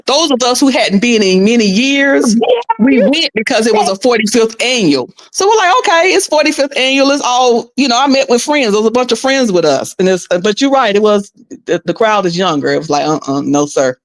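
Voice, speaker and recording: A woman's voice: fairly high pitch, expressive, brisk. A woman in her fifties chatting casually in a group, rambling and restarting her sentences as she tells stories. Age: 50s. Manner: rambling, restarts often, quotes herself.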